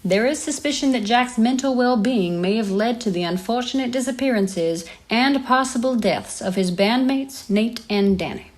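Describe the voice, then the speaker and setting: A woman's voice: a little high, a little mellow, lightly acted. A woman playing a sceptical radio host in an audio drama, with a pointed, accusatory, articulate presenter delivery. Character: probing, sceptical female radio show host. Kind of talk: audio drama acting as live radio interview.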